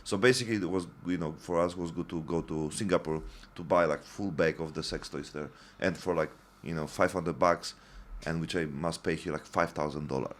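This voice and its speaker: Deep-voiced man, halting simple phrasing. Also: steady tone. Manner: halting, simple phrasing with short agreeing backchannels. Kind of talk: casual podcast chat.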